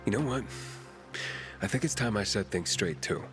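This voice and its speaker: An actor playing a defiant young outsider in a video game, plain-spoken and sarcastic. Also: male voice, lowish voice, animated, moderate pace, close to an everyday voice. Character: wry, defiant young male wandering outsider. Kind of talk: naturalistic video game acting. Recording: background music under the voice.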